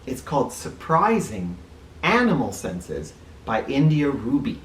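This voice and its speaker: A man reads aloud to children in a roomy space, a little far from the mic. His delivery is very lively in pitch and playful, with explanations and questions aimed at young listeners. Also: a little slow. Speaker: children's book reader and teacher. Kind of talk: animated read-aloud with asides for children. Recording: roomy, distant mic, faint noise.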